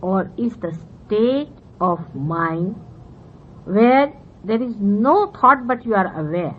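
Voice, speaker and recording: A woman from India whose first language is Marathi speaks with an Indian accent, explaining spiritual ideas earnestly to her listeners and pausing often with fillers. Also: medium pitch, expressive. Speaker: spiritual teacher. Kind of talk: spiritual talk to followers. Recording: dull old 1970s tape with faint hiss.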